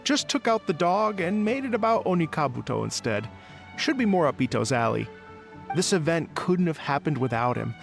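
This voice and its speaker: High-voiced, easygoing musician. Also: male voice, sing-song, moderate pace, creaky. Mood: upbeat. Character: cheerful easygoing male musician and festival organizer. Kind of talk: game cutscene voice acting. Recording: music behind the voice.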